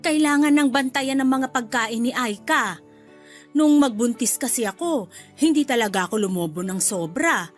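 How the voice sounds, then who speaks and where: A woman's voice: on the high side, animated, normal speed, faint music behind, natural voice. A woman playing a chatty, bluntly nosy middle-aged mother-in-law in a Filipino radio drama, with a Filipino accent. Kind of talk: Tagalog radio drama acting.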